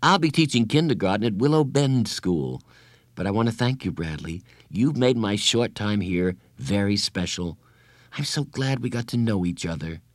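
A man voicing a kind, patient female school counselor in an audiobook, with a gentle, earnest, reassuring manner. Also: lowish voice, expressive, moderate pace, no room sound, clean studio sound, close to an everyday voice. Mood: tender.

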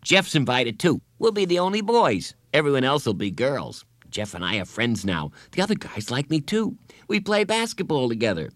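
Storybook schoolboy, nervous and excitable, put on by a man; high, bouncy delivery with wide swings, dry close sound. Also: normal speed, hardly any pauses, no echo. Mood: eager. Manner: high, eager, tearful and whiny. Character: anxious excitable young schoolboy. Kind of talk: audiobook character voice.

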